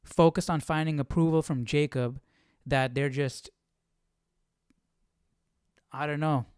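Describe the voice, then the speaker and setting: A man's voice: a little high, steady tone, takes it slowly, darkish tone, clean tone, dry recording, clean studio sound. A man hosting a casual live Bible study stream, thinking aloud in an informal, hesitant way.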